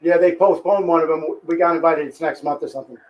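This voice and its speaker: Give me a male host with a high voice, excitable and talking over his guest. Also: fast talker, low-quality recording. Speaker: show host. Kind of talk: casual political chat.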